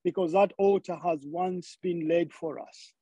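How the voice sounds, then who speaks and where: A man's voice: high voice, steady tone, unhurried, mellow, husky, no room sound. A man from Zambia preaching a sermon, often repeating words as he searches for the next phrase.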